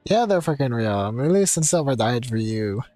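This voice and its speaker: A man's voice: mid-range voice, sing-song, normal speed, mellow, no room sound. A young man commenting casually on his gameplay as a streamer, chatty and rambling. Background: faint game music.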